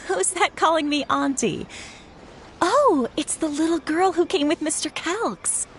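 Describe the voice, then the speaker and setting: A woman's voice: sits high, measured pace, a little hiss or hum. A woman voicing a friendly, polite adult female shopkeeper in a video game. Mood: amused, helpful. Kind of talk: animated game voice acting.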